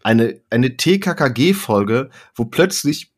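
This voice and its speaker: A man's voice: medium pitch, lively intonation, takes it slowly. Man chatting casually in rambling style. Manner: rambling, self-interrupting, repeats phrases for emphasis. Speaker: podcast guest, poetry slammer and author. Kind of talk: casual interview chat.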